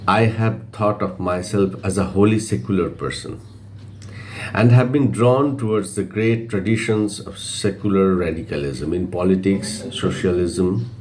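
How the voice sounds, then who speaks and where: A man reading a literary essay aloud in measured phrases, with frequent pauses between them. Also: sits low, darkish tone. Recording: faint background noise, amateur video reading.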